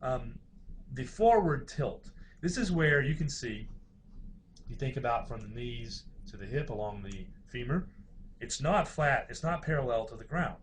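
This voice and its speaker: A man's voice: mid-range voice, animated, a little slow, slight background noise. A man giving an informal university lecture, explaining things in a hesitant, hedging way.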